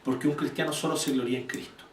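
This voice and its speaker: A Chilean man giving an informal church teaching talk, blunt and animated, quizzing the audience as he goes. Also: mid-range voice, quick pace, slight background noise. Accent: Chilean Spanish. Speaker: church speaker teaching volunteers. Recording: echoey room, voice from far off.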